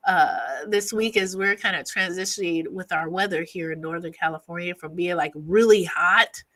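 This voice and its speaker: A woman therapist giving an educational talk, sounding stuffy from allergies and pausing often on "uh" with frequent restarts. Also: fairly low pitch, fairly bright tone. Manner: halting, with frequent "uh" fillers and restarts.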